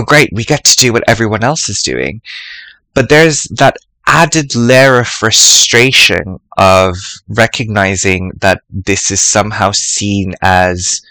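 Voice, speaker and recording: A man presenting a reflective spoken essay for an academic symposium podcast, thoughtful and measured, often pausing to choose his words. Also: deep voice, sharp tone, dry recording, rough recording.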